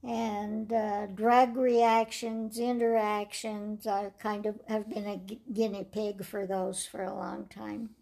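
An older woman with a raspy voice, telling her life story slowly and reflectively in an interview. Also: medium pitch, little pitch movement. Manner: slow, measured storytelling with soft trailing phrases.

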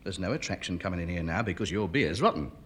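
A man reads out lines from an old radio comedy script, acting them out with big swings in his pitch. The recording carries a clear layer of background noise. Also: lively intonation, quick pace, fairly bright tone. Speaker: podcast co-host.

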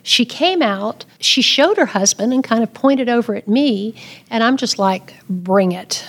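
An older woman talks with emphatic conviction. Her pitch sits a little high for a woman. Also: lively intonation, a little slow, fairly bright tone. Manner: earnest, emphatic storyteller. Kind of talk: casual panel talk.

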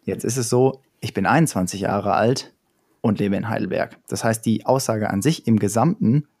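Young guy, lowish mellow voice, explaining stuff casually with lots of ums and restarts. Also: moderate pace, breathy edge. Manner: explains step by step with many fillers and restarts.

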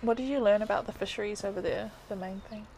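Woman interviewer with a gravelly voice. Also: medium pitch, quick pace, darkish tone, slight background noise. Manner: brief questions and frequent backchannel murmurs. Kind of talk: casual interview.

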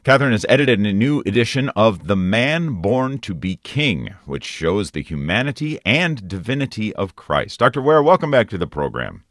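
A man's voice: lowish voice, very animated, normal speed, few pauses, a bit bright, no echo, studio quality. A man hosting a radio show, interviewing in a casual, interested and conversational way.

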